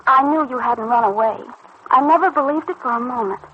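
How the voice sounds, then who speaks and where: A muffled, faintly noisy old radio recording holds a woman acting an anxious teenage girl in love. She is soft-hearted and hesitant, in the sincere manner of a vintage soap serial. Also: high-pitched, a little fast, close to an everyday voice. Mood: anxious, tender.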